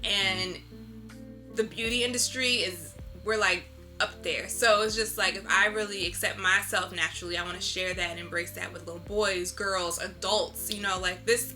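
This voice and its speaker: A woman's voice: mid-range voice, normal speed, bright, forceful tone, soft background music. A woman being interviewed, answering casually in a candid, reflective and informal storytelling way. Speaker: interviewed artist.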